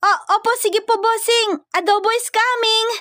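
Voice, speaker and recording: Woman putting on a high, flustered young provincial maid. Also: steady tone, normal speed, bright, forceful tone, no room sound, clean studio sound. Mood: scared, obedient. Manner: dramatic, whiny, stammering.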